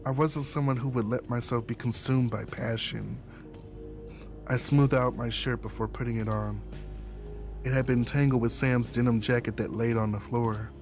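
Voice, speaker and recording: A man reading a visual novel aloud as first-person narration, in an earnest, measured, dramatic way. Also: mid-range voice, fairly even intonation. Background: game music under the voice.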